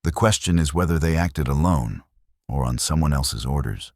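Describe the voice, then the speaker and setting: Man voicing a very deep, terse cowboy. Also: moderate pace, no echo, clean studio sound. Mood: grim, resolute. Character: grim, steady cowboy homesteader in the Old West.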